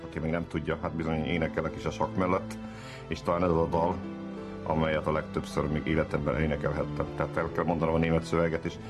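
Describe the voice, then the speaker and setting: Male guest singer, extremely low voice, reflective introduction, music behind. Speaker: singer and chess player guest. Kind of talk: reflective spoken introduction to a song.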